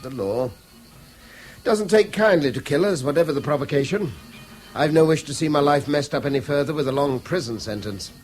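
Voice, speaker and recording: A man's voice: on the high side, animated, fairly quick, darkish tone, soft background music, close to an everyday voice, poor audio. A British actor in a 1970s radio drama playing a guarded, conscientious man with a polite, hesitant manner. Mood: sober, wary.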